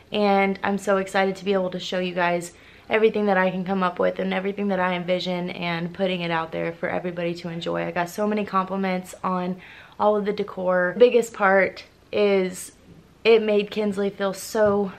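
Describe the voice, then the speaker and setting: A young woman talks straight into a handheld camera in her bedroom, her voice hoarse and scratchy as though it is fading. She gushes in a bubbly, casual way, at an even middle pitch. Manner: bubbly, gushing. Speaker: vlogger. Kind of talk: casual vlog talk to camera. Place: bedroom, indoors. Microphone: handheld camera at arm's length.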